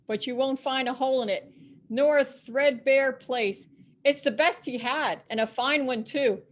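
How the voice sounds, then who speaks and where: A brazen Victorian charwoman played by a woman in a storybook reading. Her voice sits fairly high, and she is scornful, emphatic and entirely unrepentant. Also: natural voice. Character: bold, defiant, unrepentant Victorian charwoman selling stolen goods. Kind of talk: dramatic reading of a classic story.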